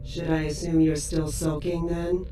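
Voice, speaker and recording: A woman playing a polite, formal, gently fussy artificial assistant. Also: deep voice, flat delivery, a little slow. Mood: polite, concerned. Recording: very echoey, distant, faint music.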